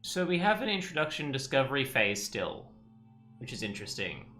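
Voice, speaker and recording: A man with a bright, forward voice at a middle pitch. He streams a game with casual commentary, musing out loud as he works things through. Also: big pitch swings, a little fast, soft background music. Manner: musing, thinking aloud. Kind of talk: casual let's play commentary.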